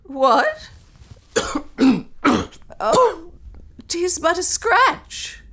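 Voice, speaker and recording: An actress playing a desperate, wounded, scheming mistress in a gothic audio melodrama, overwrought and halting. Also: female voice, very high voice, very animated, fairly bright tone, full character voice. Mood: dazed, hopeful.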